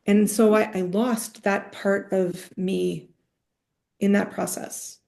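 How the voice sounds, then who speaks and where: A middle-aged woman rabbi leads an online group with a warm, mellow voice. She speaks thoughtfully and often restarts sentences while searching for words. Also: medium pitch, level tone, takes it slowly, gravelly. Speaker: rabbi leading a group session. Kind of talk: conversational teaching on a video call.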